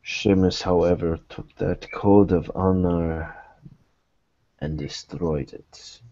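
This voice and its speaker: Man playing a deep, slow, formal investigator. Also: fairly even intonation, mellow, highs cut off. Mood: grave, deliberate. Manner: measured, formal, deliberate phrasing. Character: formal, guarded male private investigator of a secretive order. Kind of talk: in-character tabletop roleplaying.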